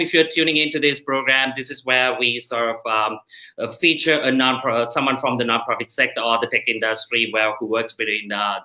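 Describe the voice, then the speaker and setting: A man's voice: medium pitch, sharp tone, rough recording. A man hosting a live online question-and-answer show, talking hesitantly with many fillers. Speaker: show host.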